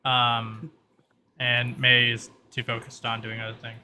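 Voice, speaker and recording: A man's voice: medium pitch, completely flat delivery, a little slow, pushed and bright, a little hiss or hum. A man narrating a tabletop roleplay as game master in a casual, improvised and informal way. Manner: informal, peppered with "like".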